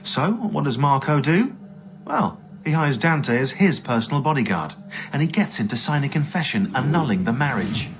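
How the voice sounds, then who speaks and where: A man's voice: mid-range voice, big pitch swings, normal speed. A man voicing a wry, gossipy historian in a video game, narrating in a conversational, sardonic storytelling manner. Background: music.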